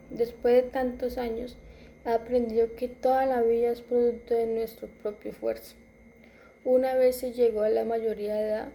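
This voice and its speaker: A woman in her twenties reads her own story aloud, stumbling and restarting words now and then. Her voice is fairly high yet very dark in color, with a gravelly rasp at the edges. The delivery stays flat and even, with little rise and fall. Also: slight background noise. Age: 20s. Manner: halting reading with restarts and self-corrections. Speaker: student. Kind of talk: reading a personal narrative aloud.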